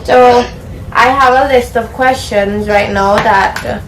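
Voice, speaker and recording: A woman's voice: a little high, takes it slowly, a little hiss or hum. A young woman with a Vincentian accent, interviewing a guest over a casual call. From Saint Vincent and the Grenadines. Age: young adult. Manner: relaxed, chatty, asks questions plainly. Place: at work. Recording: echoey room, distant from mic, voices around.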